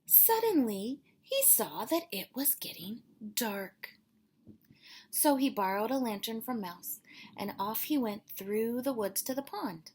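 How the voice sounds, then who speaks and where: A woman's voice: a little high, sing-song, slow, a little mellow, husky. A woman teacher reading a children's story aloud, expressively and dramatically, with explanatory asides. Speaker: elementary school teacher.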